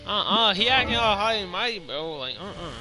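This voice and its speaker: A man's voice: high-pitched, lively intonation, a little fast, bright, forceful tone. A man giving casual gameplay commentary, laid-back and slangy, with muttered asides. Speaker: gaming video host. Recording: game music under the commentary. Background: video game music.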